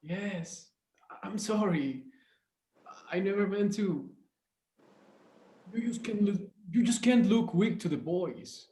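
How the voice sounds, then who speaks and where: A sullen, defiant young tough from a drama script, acted by a man. His voice sits fairly high, and the room echoes around it. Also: lively intonation, takes it slowly, long pauses, mellow. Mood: ashamed, meek. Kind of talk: screenplay table read. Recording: roomy, echoey sound.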